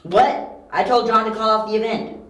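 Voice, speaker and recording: A man playing a clever, determined young detective in an amateur audio drama, wry and quick-witted. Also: sits high, steady tone, sharp tone. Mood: urgent. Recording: echoey room, amateur home recording.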